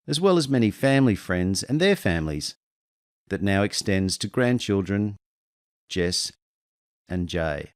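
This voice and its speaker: A low-pitched man reads a prepared family tribute in a dry, close recording. He paces himself with care, setting out each item slowly and evenly. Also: clear, resonant tone, no room sound. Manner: measured, listing slowly. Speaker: narrator, son paying tribute. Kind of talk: scripted narration.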